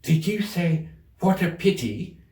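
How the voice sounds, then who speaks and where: A timid storybook rabbit, acted out by a man, speaks in a roomy space, a little off the mic. The voice is hushed and anxious, halting and whispering as if afraid of being overheard. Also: sits high, lively intonation, distant mic, pitched above the performer's own voice. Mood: anxious, secretive. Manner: hurried, nervous, halting.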